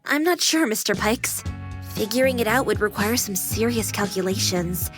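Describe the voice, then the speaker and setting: A woman's voice: mid-range voice, lively intonation, normal speed, close to an everyday voice. A woman voicing a playful, caring female AI companion with a girlish anime persona in a video game, chirpy, teasing and polite. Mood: helpful, teasing. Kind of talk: scripted video game voice acting. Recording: music behind the voice.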